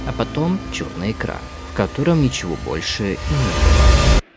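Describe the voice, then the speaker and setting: A man's voice: on the low side, big pitch swings, measured pace. A man reading a scripted horror video narration as a narrator. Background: background music.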